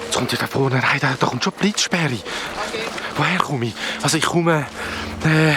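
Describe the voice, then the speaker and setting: A man's voice: on the high side, normal speed, noisy background, natural voice. A man with a Swiss German accent playing a nervous young hero in a children's radio play, stammering and talking to himself. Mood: anxious. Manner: stammering, self-talking, flustered. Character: nervous, hesitant young male hero lost in strange world. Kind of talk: Swiss German children's audio drama acting. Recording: loud music and sound effects behind.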